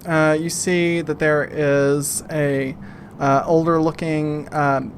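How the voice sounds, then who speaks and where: A man narrating a tabletop game as game master, casually and haltingly, searching for his words. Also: fairly high pitch, level tone, slight background noise.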